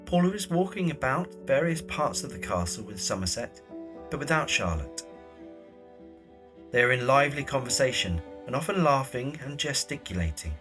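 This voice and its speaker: A man's voice: medium pitch, sing-song, normal speed, a bit bright, soft background music. A man narrating an audio drama, reading scripted scene descriptions in the present tense.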